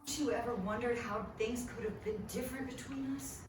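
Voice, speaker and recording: A woman's voice: on the high side, steady tone, a little fast, natural voice. A woman acting an earnest, evasive young male aspiring filmmaker at a live comic table read. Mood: earnest, wistful. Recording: echoey noisy room, distant mic.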